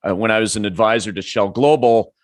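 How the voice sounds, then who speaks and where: A man's voice: on the low side, fast talker, no room sound. A man hosting a podcast, talking conversationally and asking follow-up questions. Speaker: podcast host. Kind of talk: interview questions.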